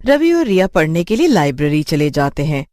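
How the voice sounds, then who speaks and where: A woman's voice: sits low, very animated, brisk, mellow, no room sound, clean studio sound. A woman reading scripted narration for a children's cartoon story as its narrator.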